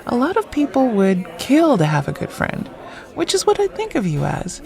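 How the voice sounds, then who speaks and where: A woman's voice: on the high side, huge pitch swings, normal speed, creaky, a little mellow, soft background music, exaggerated voice. An actress playing a witty, frank woman on a friendly date in an audio drama, with wry, clipped quips. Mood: earnest, wry.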